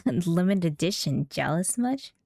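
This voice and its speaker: A woman's voice: lowish voice, animated, unhurried, vocal fry, dark tone, no room sound, studio quality. A woman livestreaming a game, chatting casually with viewers in a friendly, giggly manner. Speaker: game streamer. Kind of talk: casual livestream chat while playing. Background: game music.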